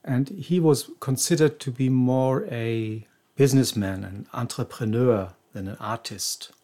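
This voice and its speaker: A man's voice: medium pitch, unhurried, warm tone. A man co-hosting a podcast, explaining tango history in a casual, knowledgeable way with frequent restarts. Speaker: podcast co-host.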